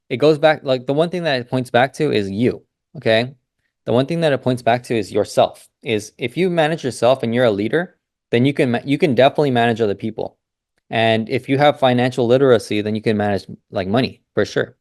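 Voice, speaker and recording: A young man hosting a business talk, speaking off the cuff in a loose, rambling way full of fillers and restarts. His voice sits in an ordinary middle pitch. The sound is dry and close, with no room behind it. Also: darkish tone, no room sound. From United States. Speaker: business consultant host. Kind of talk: casual monologue.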